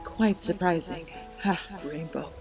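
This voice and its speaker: Old grandmother tortoise, acted by a woman, unhurried, gentle, medium pitch, music behind, audio drama storytelling. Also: low-quality recording. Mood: reflective, awed. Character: elderly grandmother pony reincarnated as a tortoise.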